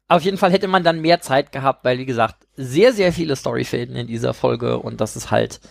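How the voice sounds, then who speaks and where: Gravelly-voiced man, rambling. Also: mid-range voice, brisk. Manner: rambling, many fillers, laughs at own jokes. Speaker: podcast co-host. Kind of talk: casual discussion.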